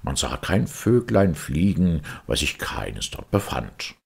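A man reads a storybook with theatrical flair. His voice is deep and very husky, swinging widely in pitch, and he takes his time. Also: big pitch swings, slow, darkish tone. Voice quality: husky, gravelly. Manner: theatrical, dramatic delivery. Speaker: audiobook reader. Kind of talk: expressive storybook narration of verse and prose.

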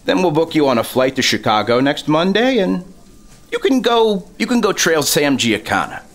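An actor playing a stern, bossy FBI assistant director, authoritative, in 1950s radio drama style. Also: male voice, on the high side, sing-song, normal speed. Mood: condescending.

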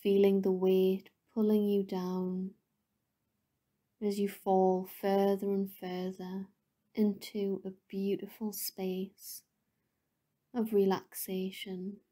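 A woman leading a guided meditation in a soothing, gentle, unhurried way, softly spoken with breathy phrase endings. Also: medium pitch, flat delivery.

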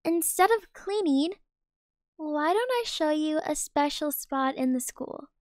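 A young woman voicing Hazel, a kind, lonely teenage schoolgirl, speaking softly and slowly. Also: sits high, lively intonation, dry recording, clean studio sound. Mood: curious. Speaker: voice actor. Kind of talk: amateur voiced game movie.

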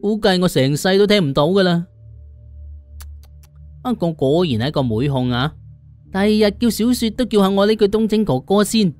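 A man's voice: high-pitched, animated, moderate pace, long pauses, a little mellow, smooth, faint music behind. Cantonese man narrating a comic tale. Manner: colloquial, theatrical storytelling. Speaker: audiobook narrator. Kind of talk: first-person comic audiobook narration.

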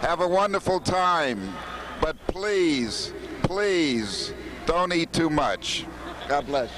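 A man giving a slow, light-hearted ceremonial speech outdoors at a stadium. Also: on the high side, animated, background noise. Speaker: ceremony speaker presenting a gift.

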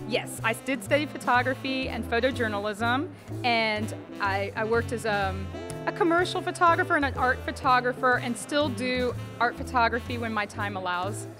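Higher-pitched American woman, music behind. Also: normal speed. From Dallas, Texas, USA. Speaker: art gallery owner, interview guest. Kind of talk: on-camera interview. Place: indoor art fair booth. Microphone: handheld interview mic held out by interviewer.

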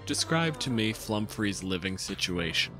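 A man with a slightly low voice speaks as co-host and game master. His casual banter is dry, sardonic and exasperated. Music plays softly behind him. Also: big pitch swings, moderate pace.

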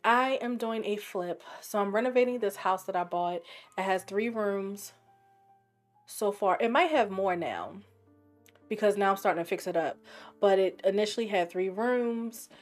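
Raspy woman streamer w/ a scratchy sore throat, thinking out loud as she plays, soft music under. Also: mid-range voice, moderate pace. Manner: chatty, thinking aloud, trailing off. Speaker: game streamer. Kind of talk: casual gameplay commentary.

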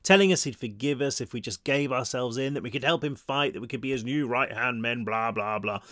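A man's voice: mid-range voice, brisk, a bit bright, no echo, clean studio sound, natural voice. Man as a weary, sardonic teenage boy. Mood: weary, scornful.

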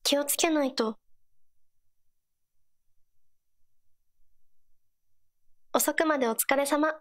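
A woman voicing an earnest, supportive teenage girl companion in a game, gentle and encouraging in short scripted lines. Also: high voice, brisk. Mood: friendly. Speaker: game character voice actor.

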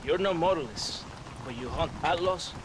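An actor playing a proud, excitable Mexican army captain in a video game, earnest and theatrical, with a Mexican accent. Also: male voice, a little high, normal speed, noisy background, close to an everyday voice. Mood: grave, bitter. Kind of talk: dramatic video game cutscene acting.

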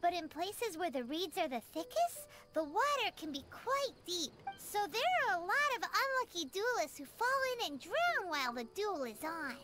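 A woman voicing a tiny, chirpy, greedy female fairy companion in a video game, who talks about herself in the third person. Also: heavy background noise. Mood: chatty. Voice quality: high-pitched, childlike, refers to herself in third person. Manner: bubbly and excitable, with quick swings into pouting. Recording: game audio with loud background music.

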